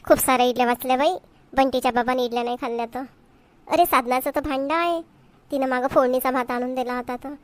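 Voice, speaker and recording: Woman acting a high-voiced chatty village housewife. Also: fairly even intonation, quick pace, close to an everyday voice. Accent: Varhadi Marathi of Vidarbha. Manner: chatty, homely, repetitive phrasing. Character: chatty village housewives and mothers, homely, mildly exasperated. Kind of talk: amateur cartoon comedy dialogue.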